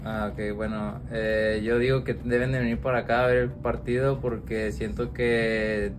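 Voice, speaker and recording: A young soccer player from Mexico giving an easygoing, brief answer. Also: male voice, mid-range voice, level tone, brisk, background noise. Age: young adult.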